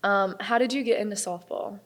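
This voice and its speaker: A young woman from North Carolina with a mild Southern accent, hosting a casual interview in a relaxed, agreeable way. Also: mid-range voice, fairly quick. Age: young adult. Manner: relaxed, easy laughter, agreeable back-and-forth. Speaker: interview host, college athlete.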